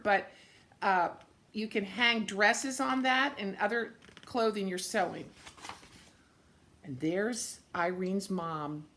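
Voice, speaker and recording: Slow, unhurried woman reading to children. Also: medium pitch. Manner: gentle, simple explanations addressed to young children. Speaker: teacher reading a picture book to children. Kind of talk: read-aloud storytelling with explanatory asides.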